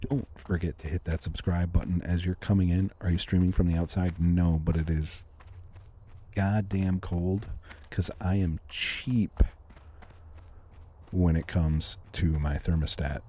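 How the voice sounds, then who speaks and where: A young man talks to his live audience in an easy, unhurried way while he plays. His voice sits low and very mellow, with a soft husk to it. He sounds calm and chatty, the kind of voice people call soothing. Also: husky. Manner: laid-back, calm, chatty with viewers. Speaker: livestreamer playing a game. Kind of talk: casual live-stream chat reading comments.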